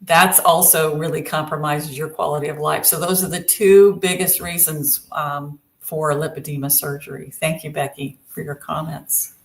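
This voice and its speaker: A woman therapist co-hosting an online panel, talking in a measured, steady way. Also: sits low, vocal fry. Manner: measured, steady, with frequent affirming murmurs. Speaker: lymphedema therapist and co-host. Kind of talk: casual online panel chat.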